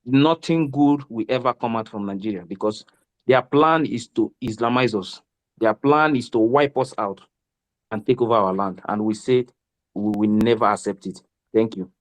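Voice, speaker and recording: A man's voice: on the low side, moderate pace. Impassioned man making declarations. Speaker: activist speaker. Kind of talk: impassioned speech in a discussion.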